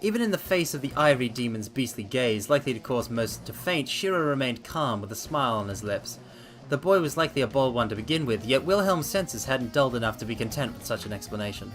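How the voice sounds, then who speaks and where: A man's voice: medium pitch, expressive, fast talker, fairly bright tone. A man streaming a visual novel, reading the narration aloud theatrically and adding casual asides. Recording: faint game music behind voice. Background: game soundtrack.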